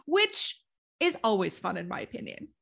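A woman hosts a podcast and tells a story with gushing enthusiasm. Her voice sits at a middle pitch. She keeps it chatty and fills the gaps with affirming murmurs. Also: sing-song, moderate pace, creaky, fairly bright tone. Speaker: podcast host telling a history story. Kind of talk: casual conversational storytelling.

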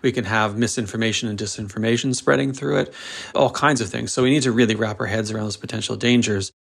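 A man's voice: lowish voice, fairly even intonation, quick pace, vocal fry. A man speaking as a guest on a panel discussion, wry and emphatic as he talks his points through.

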